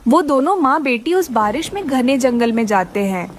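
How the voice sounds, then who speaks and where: A woman's voice: high voice, very animated, moderate pace, slight background noise. A woman narrating a scripted children's story.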